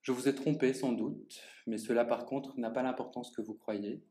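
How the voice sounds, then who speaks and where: A man reading his own first-person monologue aloud at a public reading in an art gallery, speaking to the audience in a measured, theatrical way. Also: mid-range voice, fairly even intonation, warm tone. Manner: measured, theatrical delivery addressing the audience directly. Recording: echoey gallery room.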